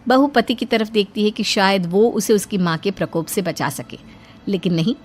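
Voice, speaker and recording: A woman's voice: medium pitch, moderate pace, slight background noise. A woman narrating a scripted domestic story as its narrator.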